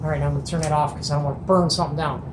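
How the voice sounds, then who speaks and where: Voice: medium pitch, steady tone, racing. Enthusiastic hobbyist host in an echoey room. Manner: enthusiastic, colloquial, folksy exclamations. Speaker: host of a CB radio show-and-tell. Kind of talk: casual hands-on show and tell. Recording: echoey room with faint noise.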